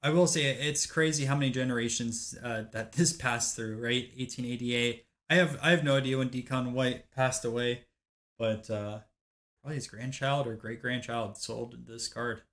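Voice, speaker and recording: A man's voice: medium pitch, fairly even intonation, moderate pace, a bit bright. A man hosting a card collecting channel, casually narrating a rundown of auction sales with frequent "uh" fillers.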